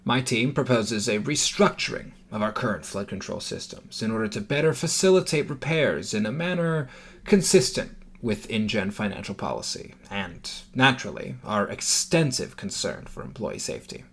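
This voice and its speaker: Male bureaucrat in radio play: raspy, animated pitch, wordy and stiffly corporate. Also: normal speed, exaggerated voice. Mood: officious. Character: wordy, officious male maintenance department manager.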